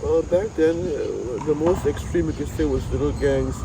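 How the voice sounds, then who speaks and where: A man in his seventies, born in Trinidad, talking slowly and reflectively in an interview outdoors in a city park. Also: a little high. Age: 70s. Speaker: martial arts grandmaster. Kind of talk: casual interview. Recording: outdoors with loud street traffic noise.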